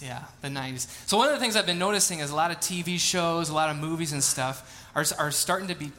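A man's voice: a little high, bright, forceful tone. A man in his late thirties, a pastor, reading scripture, praying and then telling casual, self-deprecating stories in a sermon. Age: 30s. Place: church sanctuary.

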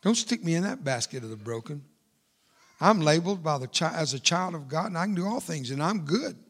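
A man's voice: fairly high pitch, lively intonation, brisk, a bit bright. Older man preaching a fiery sermon. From United States. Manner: emphatic, repeats phrases for emphasis. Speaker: guest speaker, veteran. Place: church.

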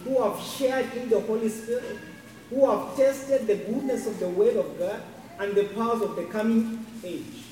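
A man's voice: high voice, normal speed, warm tone. A man with a non-native accent in a congregation, hesitantly asking a question and reading scripture aloud. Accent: non-native English accent. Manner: hesitant, many fillers, reads scripture aloud. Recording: distant, echoey, noisy room.

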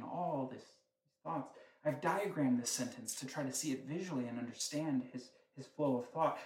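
A man's voice: mid-range voice, slight background noise. A man preaching a sermon, teaching in a conversational way with frequent rhetorical questions. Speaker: pastor. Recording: echoey room.

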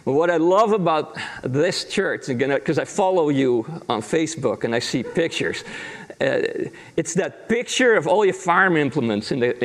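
A man who grew up in the Netherlands and speaks Dutch as his first language, preaching as a guest pastor in an easy, chatty way with self-deprecating jokes. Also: on the high side. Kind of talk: sermon.

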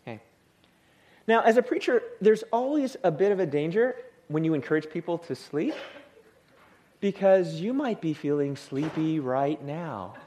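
Lively, soothing-voiced male preacher. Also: fairly high pitch. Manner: lively, humorous, acts out tired people. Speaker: pastor. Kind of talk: sermon. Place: church.

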